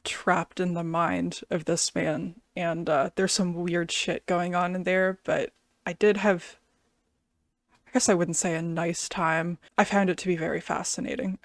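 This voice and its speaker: A woman's voice: on the low side, dry recording, studio quality. A woman giving casual, musing commentary as she reviews an album, sometimes searching for her words. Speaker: music reactor and reviewer. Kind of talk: casual album reaction commentary, some reading aloud.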